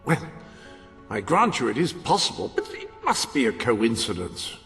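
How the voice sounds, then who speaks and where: An actor playing a fussy, learned and loyal British butler in a video game, formal and wordy. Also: male voice, mid-range voice, big pitch swings, moderate pace, fairly bright tone, faint music behind. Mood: marvelling, proper. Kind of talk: video game cutscene acting.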